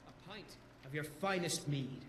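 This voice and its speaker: A man's voice: fairly high pitch, a little slow, lightly acted. A man voicing a jovial, boastful tavern drinker in a fantasy video game, hearty and challenging. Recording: echoey room, background ambient noise.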